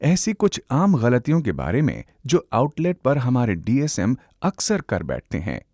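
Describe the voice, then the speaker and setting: A man's voice: medium pitch, huge pitch swings, measured pace, darkish tone, dry recording. A man narrating a scripted instructional film, emphatic and instructive, addressing viewers directly on a close, dry microphone. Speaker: narrator, presenter.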